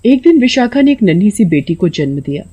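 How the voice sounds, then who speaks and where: Mellow woman narrating a story. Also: medium pitch, very animated, brisk, slightly rough, muffled recording.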